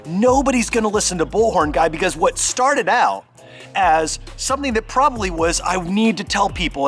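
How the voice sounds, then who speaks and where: A man giving a conversational sermon as a pastor, earnest and emphatic, speaking directly to the listener and pausing deliberately for stress. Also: high voice, fairly bright tone. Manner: exhorting, addressing the listener directly.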